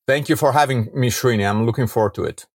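A man's voice: mid-range voice, fast talker, slightly rough. The guest on a business podcast, an Italian man making emphatic points in a snug, echo-free studio. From Rome, Italy. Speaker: podcast guest, entrepreneur and author. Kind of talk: conversational interview.

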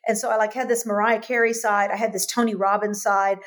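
A woman telling her life story as a guest, animated and repeating phrases for emphasis. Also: mid-range voice, fairly quick. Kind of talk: conversational storytelling interview.

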